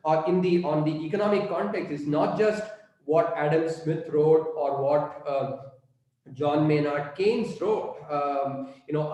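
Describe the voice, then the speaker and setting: A middle-aged man from southern India, a native Tamil speaker with an Indian English accent, gives an unscripted college lecture, pausing often with fillers and restarts. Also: on the high side, darkish tone. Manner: halting, many fillers and restarts. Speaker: guest speaker on economics. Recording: very echoey room.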